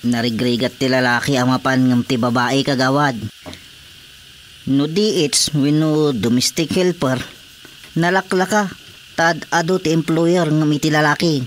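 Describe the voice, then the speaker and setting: An actor playing a resigned, hard-up village farmer in a radio drama, with an Ilocano Filipino accent. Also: male voice, a little high, steady tone, measured pace, a little hiss or hum, close to an everyday voice. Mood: resigned, explaining.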